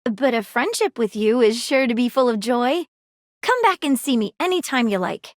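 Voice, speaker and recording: Actress as a keen, flirty video-game sleuth who is a magnifying glass: bright high voice, very lively melody. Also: female voice, normal speed, no room sound, studio quality, natural voice. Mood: affectionate. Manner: dramatic sleuth delivery, earnest and playful. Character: eager female detective magnifying glass, theatrical and flirty. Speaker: dating-game character.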